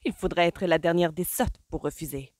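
A woman's voice: fairly low pitch, a little fast, darkish tone, no room sound, close to an everyday voice. A flustered, disdainful society mother speaks in a bewildered, tart way, as a woman reading the book puts her on. The sound is dry and close.

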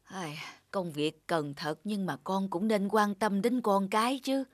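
A woman voicing a caring, worried old village grandmother in a cartoon, gently scolding and coaxing. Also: medium pitch, measured pace, no room sound, lightly acted. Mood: worried, chiding. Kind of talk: cartoon voice acting.

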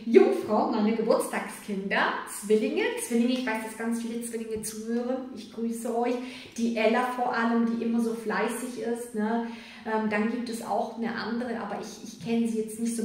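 A woman, giving a rambling, chatty tarot reading with many fillers and tag questions. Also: a little high. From Germany, of Sicilian parents. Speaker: tarot reader. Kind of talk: casual spoken tarot reading. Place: indoors, room with a card table. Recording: echoey room, voice from a distance.

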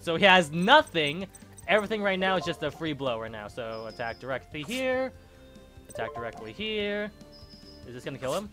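A man's voice: a little high, a bit bright. A man casually commentating a card game as he plays, thinking aloud, often stopping and correcting himself. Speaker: gaming channel host. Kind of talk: let's play commentary.

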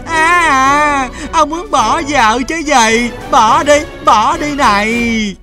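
A woman voicing a furious, jealous, nagging wife in a cartoon, shrill and scolding. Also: slow pace, sharp tone, full character voice. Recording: music behind the voice.

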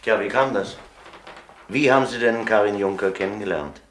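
Actor as a deep-voiced, probing police inspector. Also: male voice, normal speed, fairly bright tone, a little hiss or hum, natural voice. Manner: questioning, matter-of-fact. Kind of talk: German radio crime drama acting.

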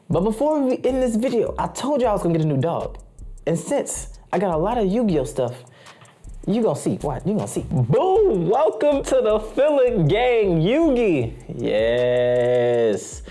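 Hyped young man with a lively voice. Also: mid-range voice. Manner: hyped slangy talk, repeats phrases. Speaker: streetwear haul vlogger. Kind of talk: casual haul video chat. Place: small indoor room full of anime posters.

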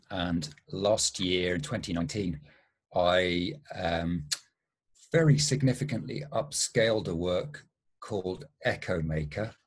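A male visual artist introducing himself on a video call, halting with many ums. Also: sits low, husky.

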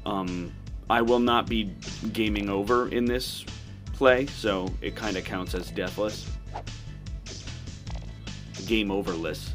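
Low-pitched man commenting over game music. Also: takes it slowly, long pauses. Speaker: gaming video host. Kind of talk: casual video game playthrough commentary.

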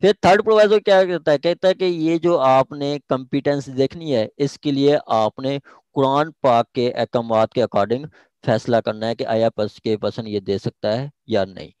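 A man's voice: medium pitch, moderate pace, darkish tone, clean tone, dry recording, rough recording. A man with a Pakistani accent explaining a legal text as an informal lecturer, repeating key phrases and switching into English. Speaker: law lecturer.

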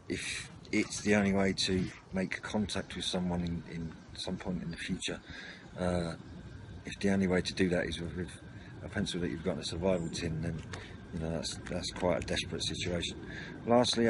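A man giving an unscripted, matter-of-fact walkthrough of gear, speaking haltingly, recorded outdoors at a table on a camera mic. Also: deep voice. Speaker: presenter of outdoor gear videos. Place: outdoors at a wooden garden table by a house. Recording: noisy outdoor camera audio.

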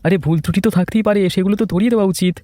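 A man voicing a friendly, flattering village customer in a cartoon, effusive and chatty. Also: high-pitched, racing, no room sound, lightly acted. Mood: effusive, pleased. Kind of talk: cartoon dubbing.

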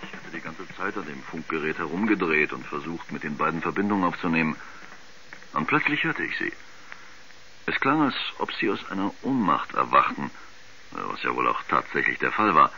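An actor playing an agitated astronaut recounting his mission in animated fashion for a radio drama. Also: a bass voice, normal speed, heavily acted. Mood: tense recollection. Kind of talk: 1970s German radio drama acting. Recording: dull vintage radio, faint noise.

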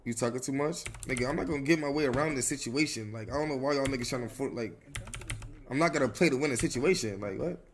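A man's voice: mid-range voice, quick pace, slight background noise. A man streaming a roleplay game, talking casually and evasively with slang and swearing.

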